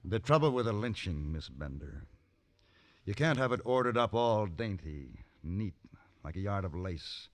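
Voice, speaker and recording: Deep-voiced, steely western gunfighter. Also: male voice, expressive. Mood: quiet menace. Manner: measured, dry, quietly menacing. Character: cool, steely gunfighter for hire, principled and calm. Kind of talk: old time radio western acting.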